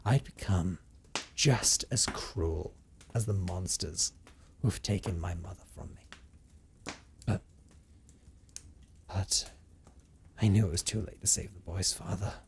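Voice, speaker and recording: A man's voice: low voice, slight background noise. A man playing a brooding, possessive vampire recluse in an audio roleplay monologue, with formal, theatrical phrasing and a teasing streak. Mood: remorseful, haunted. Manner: formal, theatrical, deliberate phrasing with condescending endearments. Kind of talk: dramatic first-person audio roleplay monologue.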